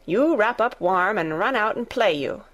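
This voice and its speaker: A woman's voice: mid-range voice, animated, moderate pace, a bit bright. A woman voicing a good-tempered, outspoken young Yorkshire maid with a broad, homely dialect, in a storybook reading. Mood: stout.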